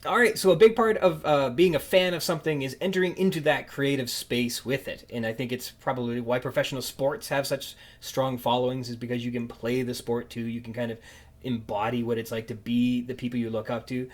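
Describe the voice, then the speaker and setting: A man hosting a podcast in casual conversation, thinking aloud in a halting, musing way and often rephrasing mid-thought. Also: mid-range voice, fairly even intonation. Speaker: podcast host. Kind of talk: casual interview chat.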